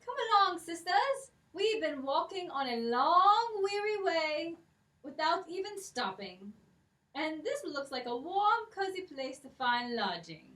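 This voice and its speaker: A woman's voice: extremely high pitch, big pitch swings, a little slow, sharp tone, heavily acted. A woman voicing a brave, earnest young girl in a children's puppet show. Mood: weary. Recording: echoey room, distant from the mic.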